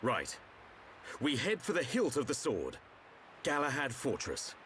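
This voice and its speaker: An actor playing a grave, composed warrior leader in a video game, speaking in a measured, commanding, formal way. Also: male voice, medium pitch, huge pitch swings, fairly bright tone, a little hiss or hum. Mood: grave, determined. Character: resolute, battle-seasoned male warrior leader, grave and composed. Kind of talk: dramatic video game cutscene acting. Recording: music behind the voice.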